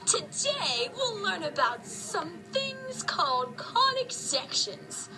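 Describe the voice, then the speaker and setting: A woman's voice: extremely high pitch, very animated, takes it slowly, full character voice, low-quality recording. A woman voicing a sock puppet teacher, talking simply to a class in a puppet show lesson. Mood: instructive. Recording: music playing behind.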